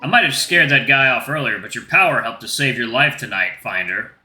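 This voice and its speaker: A performer voicing a huge, friendly male wolf giant who talks in a calm, soothing, lightly teasing way. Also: medium pitch, a little fast, reverberant, faint music behind. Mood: reassuring.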